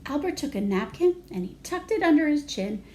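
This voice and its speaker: High, animated woman reading to children. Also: fairly quick, slight background noise. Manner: animated, simple phrasing for young children. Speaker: children's storytime reader. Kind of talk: picture-book read-aloud with asides to children.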